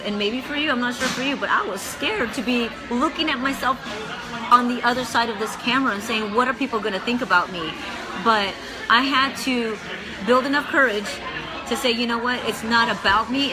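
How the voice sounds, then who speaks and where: A woman's voice: on the high side, rough recording. A woman hosting a casual livestream chat, upbeat and encouraging, often chiming in. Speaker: livestream host. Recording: background music and crowd noise.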